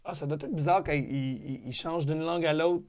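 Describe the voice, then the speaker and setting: Male podcast host; easygoing, quick agreeing, higher-pitched voice. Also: fairly even intonation, darkish tone. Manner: chatty, lots of quick agreeing "ouais". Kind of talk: casual podcast chat.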